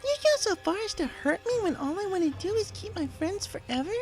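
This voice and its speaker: A man's voice: very high voice, expressive, fairly quick, a little mellow, faint music behind, pitched above the performer's own voice. A man voicing a female killer pony who is menacing and frighteningly cheery, taunting in a mock-sweet, sing-song way, in a read-aloud story. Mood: mock-hurt, sinister.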